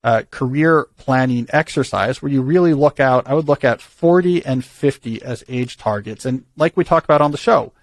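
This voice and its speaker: A man's voice: mid-range voice, moderate pace, hardly any pauses, no room sound. A man hosting a call-in advice show, talking through ideas thoughtfully with frequent restarts. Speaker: podcast host giving career advice.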